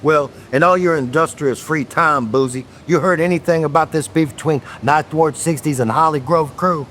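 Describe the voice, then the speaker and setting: An actor playing a weary, gruff police detective in a horror audio drama, with a wry, guarded, commanding manner. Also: male voice, on the high side, normal speed, a bit bright, slight background noise, close to an everyday voice.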